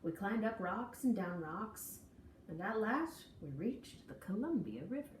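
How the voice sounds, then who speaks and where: A woman reading a children's picture book aloud in an expressive, folksy, dramatic storytelling manner. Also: mid-range voice, a little slow. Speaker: storybook reader. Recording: echoey room, distant mic, faint noise.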